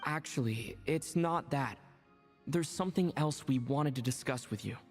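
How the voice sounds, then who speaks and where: Young adventurer played by a voice actor, calm, kindly, relaxed, mid-pitched, long reverb, music underneath, video game dialogue. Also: moderate pace, lightly acted. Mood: calm, friendly.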